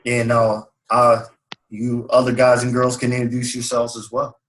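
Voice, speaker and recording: A man's voice: medium pitch, completely flat delivery, normal speed, a bit bright, rough recording. A young man leading a video call meeting as team leader, casual and filler-heavy. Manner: casual, many fillers and agreeing murmurs.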